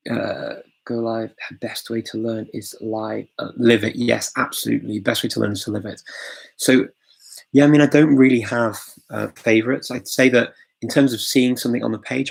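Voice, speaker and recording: Live-stream host, male, low gravelly voice, relaxed and chatty with lots of ums and little chuckles. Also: rough recording. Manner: chatty, filler-heavy, chuckles often, greets viewers. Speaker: host of a live language-learning Q&A. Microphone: phone.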